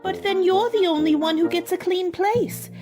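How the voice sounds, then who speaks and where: A woman's voice: extremely high pitch, normal speed, over music. A woman playing a curious young girl in a radio play, prim, questioning and easily indignant.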